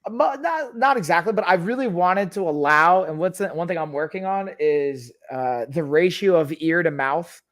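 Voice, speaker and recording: A man's voice: fairly high pitch, normal speed, hardly any pauses, fairly bright tone. A man hosting a casual livestream chat, talkative and laid-back. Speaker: podcast host, fitness coach.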